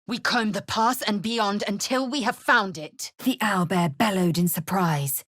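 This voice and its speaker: Husky, haughty alien warrior woman. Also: medium pitch, unhurried, a bit bright, no room sound, clean studio sound. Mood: grim, narrating. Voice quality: husky, raspy. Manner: clipped, imperious, disdainful, formal diction. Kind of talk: video game character acting.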